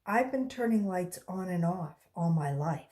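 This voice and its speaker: Young schoolboy acted by a woman, storybook, earnest, doubtful, plain-spoken, animated intonation. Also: fairly low pitch, a little slow. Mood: puzzled, unconvinced.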